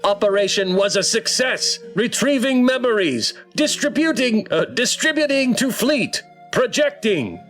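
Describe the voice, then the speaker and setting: Man putting on a high-pitched haughty sea-creature conqueress. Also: sing-song, a little slow, few pauses, fairly bright tone, soft background music, heavily acted. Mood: clinical, commanding. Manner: grandiose, commanding, theatrical. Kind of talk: acted villain in a visual novel.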